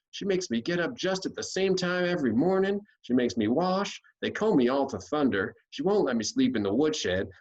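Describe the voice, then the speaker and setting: A man's voice: on the high side, highs cut off, voice pushed up. A man voicing a disgruntled, untamed country boy in a storybook, complaining in a folksy drawl. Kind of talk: storybook character voice.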